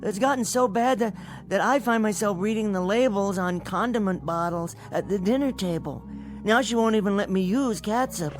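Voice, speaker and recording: An American actor playing a meek, henpecked, middle-aged bank teller in a radio drama, hesitant and apologetic in manner. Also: male voice, sits high, normal speed, faint music behind, natural voice. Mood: flustered, pleading.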